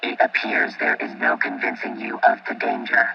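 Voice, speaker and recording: A man's voice: fairly low pitch, sing-song, normal speed, vocal fry, lo-fi sound, faint music behind, full character voice. A man playing a stiff, protective combat robot in an audio drama, speaking formally and literally through a robotic filter. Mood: cautious. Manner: formal, clipped, literal phrasing.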